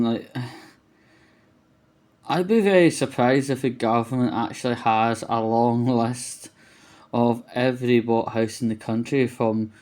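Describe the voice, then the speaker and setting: A man vlogs in a casual, opinionated way at a slow pace. His voice sits at a middling pitch, and he rambles in loose, colloquial phrases with the odd swear word. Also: warm tone. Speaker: vlogger. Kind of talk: casual opinionated monologue to camera.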